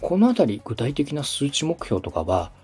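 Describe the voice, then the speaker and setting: A man's voice: mid-range voice, huge pitch swings, moderate pace, a little mellow, slightly rough, soft background music. A man from Osaka hosting a podcast, coaching in a polite, methodical way as he explains things. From Osaka, Japan. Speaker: podcast host and radio director. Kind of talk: structured coaching conversation.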